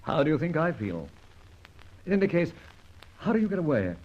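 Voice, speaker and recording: Theatrical, animated gentleman adventurer. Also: on the high side, a little fast. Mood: wry, matter-of-fact. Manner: theatrical, wry, mock-formal wording. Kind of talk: old-time radio drama acting and first-person narration. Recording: dull vintage radio recording.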